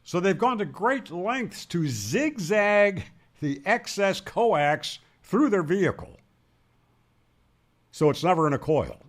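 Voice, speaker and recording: A man's voice: sits high, very animated, takes it slowly. A man giving an explanatory tutorial as a radio instructor, in an emphatic, didactic manner, posing questions and then answering them. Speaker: ham radio instructor and presenter.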